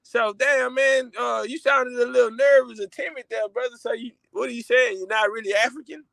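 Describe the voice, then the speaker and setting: A man hosting a live online call-in, teasing a caller with loud joking banter. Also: extremely high pitch, a little fast, vocal fry, no echo. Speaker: host. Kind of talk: casual livestream chat.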